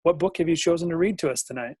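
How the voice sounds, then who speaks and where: A man with a fairly high voice works as an interview host. His delivery is that of a presenter putting questions to a guest, and the sound is very dry, with no room echo. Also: rapid-fire, dark tone, slightly rough. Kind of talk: hosted interview.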